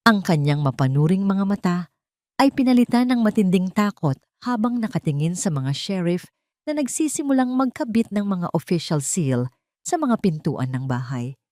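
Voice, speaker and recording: Low-pitched woman narrating a dramatic story. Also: sing-song, normal speed, mellow, no room sound, clean studio sound.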